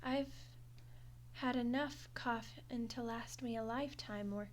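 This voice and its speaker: A high-pitched skeleton girl acted by a woman speaks straight to the listener in an audio roleplay. She is friendly and awkward, taking her time and stumbling hesitantly through wry, chatty excuses. There is some background noise on the recording. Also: steady tone, measured pace, close to an everyday voice. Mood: startled, dazed. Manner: hesitant, chatty, wryly defensive.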